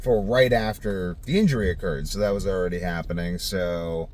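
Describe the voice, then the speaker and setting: A man with a low-pitched voice talks casually to his video diary. He rambles and thinks aloud, unscripted, over a faintly noisy home recording. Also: moderate pace, darkish tone. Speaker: vlogger.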